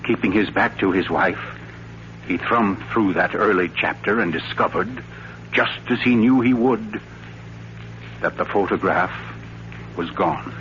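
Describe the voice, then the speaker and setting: An actor playing an author who narrates a suspense tale in a measured, ominous, dramatic 1940s radio style. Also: male voice, sits low, noisy background. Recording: dull old radio broadcast recording.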